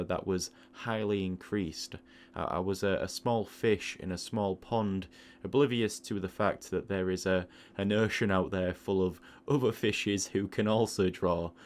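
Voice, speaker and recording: A man giving a thoughtful, explanatory spoken monologue as an artist hosting an audio log, correcting himself as he goes. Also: low-pitched. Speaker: artist and video host.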